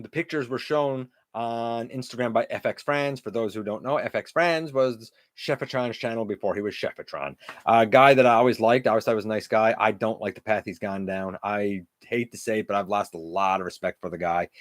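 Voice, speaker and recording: Loud-voiced man chatting on a livestream. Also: mid-range voice, moderate pace, dry recording, clean studio sound. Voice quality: loud voice that carries. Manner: chatty, reads out viewer comments and answers them. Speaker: livestream host; teacher. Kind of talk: casual live-stream chat with viewers.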